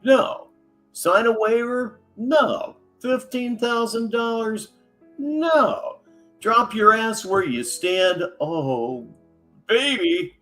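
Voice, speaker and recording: A man's voice: high voice, extremely slow, faint music behind. A man hosting a reaction stream, making dry, mocking commentary on a clip. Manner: dry, mocking, animated delivery. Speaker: commentary host.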